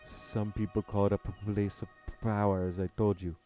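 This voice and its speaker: A hesitant young guide who stumbles and repeats sounds as he talks, as a man puts him on. The voice is low and mellow, close to the reader's own. It sounds like a storybook reading, with faint music behind it. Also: little pitch movement, normal speed, natural voice. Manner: stammering.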